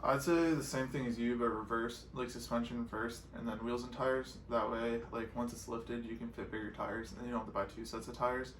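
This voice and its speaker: A man's voice: mid-range voice, steady tone, brisk, few pauses. A young American man co-hosting an off-road vlog, reading out questions and giving practical, matter-of-fact answers in casual chat. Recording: roomy echo with some background noise.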